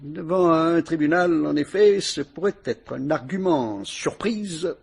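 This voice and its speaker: A man playing a patient police commissioner who speaks in a measured, deliberate, politely ironic way in a vintage radio drama. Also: on the high side, gravelly, a little mellow, breathy edge, full character voice.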